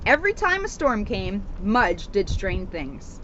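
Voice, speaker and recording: A woman reading a children's storybook aloud in an animated, expressive way, with emphasis on the sound words. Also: mid-range voice, a little slow. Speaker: storybook reader, police officer. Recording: noisy, dull outdoor recording.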